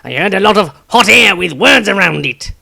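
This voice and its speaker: A man's voice: sits high, expressive, moderate pace, gravelly, pitched above the performer's own voice, heavily acted. A man reading a story aloud, voicing a smug, arrogant male halfling thief who talks sharply. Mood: snappish. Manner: sharp, snappish.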